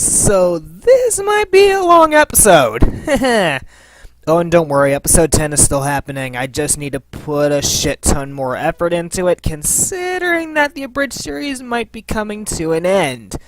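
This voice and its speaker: Animated, high-swinging male show host. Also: moderate pace, slight background noise, rough recording. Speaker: host of a fan Q and A. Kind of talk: scripted, playful presenting.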